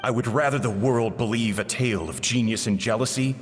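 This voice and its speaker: An actor playing a solemn, resigned, self-sacrificing man in a video game, speaking gravely. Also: medium pitch, fairly quick, close to an everyday voice. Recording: long reverb, faint music behind.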